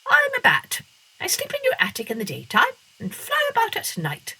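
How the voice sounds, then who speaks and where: A woman's voice: very high voice, wildly swooping pitch, moderate pace, bright, forceful tone, voice pushed up, heavily acted, low-quality recording. A woman voicing a cheerful little bat in a storybook reading, chirpy and breezy.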